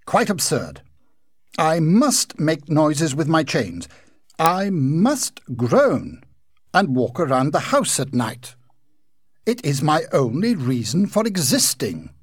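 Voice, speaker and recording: A man doing a pompous old ghost who declaims loudly and slowly, his husky voice swooping up and down. He comes across as proud and theatrical, in a dry, close storybook reading. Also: on the high side, big pitch swings, dry recording, voice pushed up, exaggerated voice. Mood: haughty. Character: old, proud, self-pitying male ghost.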